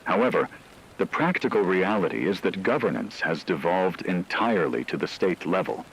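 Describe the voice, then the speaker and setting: A man delivering scripted news analysis on the radio in a measured, formal manner. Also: low voice, expressive, slight background noise, rough recording.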